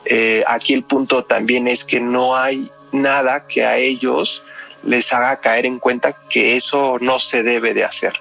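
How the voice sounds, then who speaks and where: A Mexican man with a creaky, fry-edged voice talks as an expert guest. He explains things step by step and keeps checking in with short tag questions, over faint music. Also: mid-range voice, little pitch movement, measured pace, pushed and bright. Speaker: psychoanalyst, podcast guest. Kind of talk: explanatory conversation about a crime case. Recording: music behind the voice.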